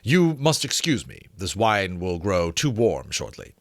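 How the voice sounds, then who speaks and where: Guy as a proper old-time military gentleman, curt n polite, dry close sound. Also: low-pitched, very animated, a little slow, no echo, full character voice. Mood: curtly polite. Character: courteous, stiff, reserved gentleman officer of Napoleonic era. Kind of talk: dramatic audiobook reading of a period novel.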